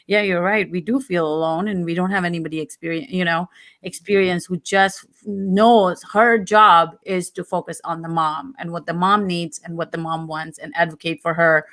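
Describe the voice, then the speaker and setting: Earnest woman with a lowish voice. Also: fairly bright tone. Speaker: talk show guest, podcaster. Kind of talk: conversational advice on a talk show.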